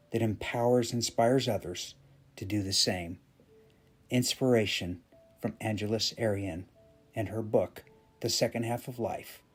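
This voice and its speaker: Husky man telling an inspirational story. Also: lowish voice, a little mellow. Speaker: podcast host and story reader. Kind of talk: dramatic inspirational storytelling narration.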